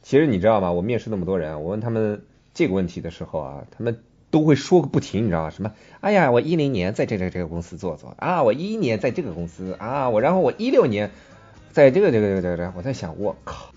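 A husky-voiced man with a Mandarin Chinese accent teases his co-host as he explains English words in a podcast lesson. His pitch moves up and down a lot. The recording is dull and lo-fi, with faint music underneath. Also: very animated, fast talker, dark tone. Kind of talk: bantering bilingual lesson chat.

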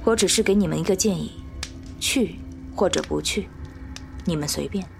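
A woman's voice: fairly low pitch, moderate pace, vocal fry. A woman playing a cool, guarded lone survivor in an audio drama, speaking in a terse, detached, authoritative way. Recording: loud music under the voice.

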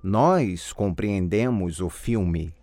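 A man giving scripted model answers in a language drill with careful, deliberate enunciation. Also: low-pitched, animated, background noise. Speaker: language course model speaker.

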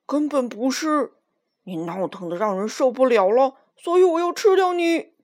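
A woman voicing a sly old male circus lion, slow and booming in a children's storybook reading. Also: expressive. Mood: menacing.

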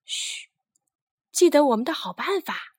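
A woman's voice: high-pitched, big pitch swings, measured pace, dry recording, clean studio sound, voice pushed up. Woman as a tiny, earnest storybook ant. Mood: earnest, hushing. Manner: earnest, hushing, careful delivery. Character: tiny, earnest, patient, hard-working helpful ant. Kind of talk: children's storybook character voice.

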